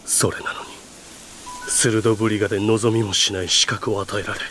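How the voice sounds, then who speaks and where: An actor playing a weary, guilt-ridden lord in a video game, speaking gravely and slowly, often sinking toward a whisper. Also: male voice, lowish voice, flat delivery, pushed and bright, soft background music. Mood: anguished, weary. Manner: slow, grave, half-whispered confession. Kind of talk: Japanese video game voice acting.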